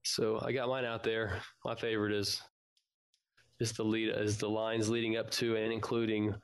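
A man's voice: lowish voice, flat delivery, moderate pace, clean tone. A man hosting a fan podcast in a casual, informal and chatty way.

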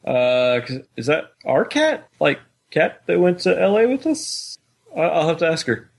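A man's voice: on the high side, sing-song, unhurried, gravelly, breathy edge. Easygoing man co-hosting a podcast. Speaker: podcast co-host. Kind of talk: casual podcast chat.

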